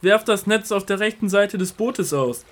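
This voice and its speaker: An actor playing a calm, authoritative Jesus in a Bible audio drama, speaking in a measured, solemn and tender manner. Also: male voice, high voice, close to an everyday voice. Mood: calling, inviting. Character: risen Jesus, calm authoritative male teacher.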